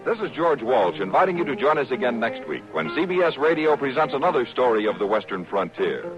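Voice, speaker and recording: A man's voice: mid-range voice, sing-song, brisk. A man announcing a 1950s radio program in a formal, sonorous manner. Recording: dull old radio recording with music.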